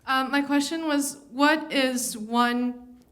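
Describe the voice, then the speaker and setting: Young female student from Taiwan, slightly high-pitched, posing a question at a live event. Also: fairly even intonation, slow, a bit bright. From Taipei, Taiwan. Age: young adult.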